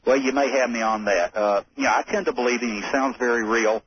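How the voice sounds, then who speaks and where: Forty-year-old man calling radio, folksy and hesitant, dull lo-fi line, clear hiss. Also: mid-range voice, brisk. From Alabama, US. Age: 40s. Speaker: caller. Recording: phone-in call on noisy lo-fi radio.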